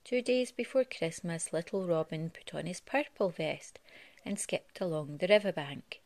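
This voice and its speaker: Low-voiced woman reading a children's storybook. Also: moderate pace, a little mellow, dry recording. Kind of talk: read-aloud children's storytelling. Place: living room at home.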